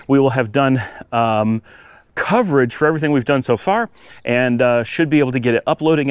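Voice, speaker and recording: A man with a medium-pitched voice narrates a travel vlog as he walks outside. He talks casually and rambles, thinking aloud as he goes. The sound is dry, with no echo. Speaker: travel vlogger. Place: outdoors, walking on residential city streets.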